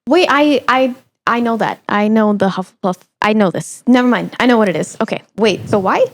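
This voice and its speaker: A young adult woman hosting a casual interview chat, reacting in an animated, teasing way. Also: fairly high pitch, a little fast. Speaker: podcast host.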